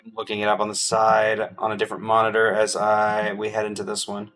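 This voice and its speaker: A man's voice: fairly low pitch, monotone, a little fast, fairly bright tone. Man casually narrating his gameplay over music. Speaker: game streamer.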